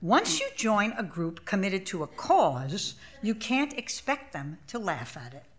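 Woman, 70s, author reading onstage: slow, expressive, wide-ranging intonation, dry wit. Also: mid-range voice. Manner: measured, expressive, wry delivery. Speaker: author reading own essay. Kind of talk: literary essay reading for a live audience. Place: small theater stage, live event. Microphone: stage microphone.

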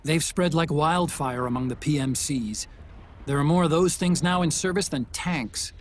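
An actor playing a nerdy engineer giving a technical mission briefing over a radio link in a video game. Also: male voice, on the high side, moderate pace. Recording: game audio with steady noise.

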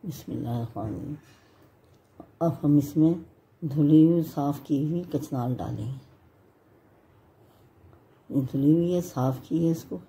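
A middle-aged woman calmly explaining a home recipe step by step, naming each ingredient as she goes. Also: low voice. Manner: plain, homely instructional talk. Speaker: home cook. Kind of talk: step-by-step cooking tutorial. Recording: roomy, noisy home recording.

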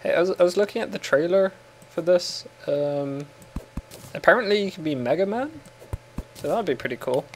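A man's voice: sits high, lively intonation, moderate pace, a little hiss or hum. Brief: English man, casual gaming banter, giggly and rambling, faint typing behind. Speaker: co-host playing a game.

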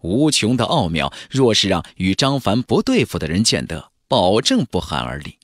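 A man's voice: on the low side, huge pitch swings, normal speed, no room sound, clean studio sound. A man narrating a fantasy novel as a dramatic audiobook, with a theatrical, emphatic delivery.

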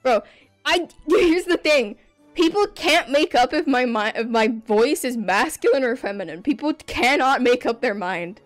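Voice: high voice, lively intonation, a little slow, faint music behind. A young adult streamer chatting casually over gameplay in their bedroom, rambling and laughing often. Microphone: desk microphone.

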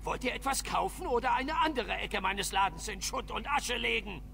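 A man's voice: very high voice, normal speed, creaky, sharp tone, faint music behind, exaggerated voice. A man voicing a flustered, indignant dwarf shopkeeper in a video game, stammering and complaining. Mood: accusing, annoyed. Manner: agitated, stammering, whiny complaining.

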